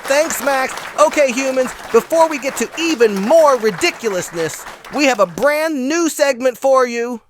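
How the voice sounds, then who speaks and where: A man playing an excitable puppet show host presenting comedy for children, with music and crowd sound behind him. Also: very high voice, normal speed, few pauses. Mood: upbeat.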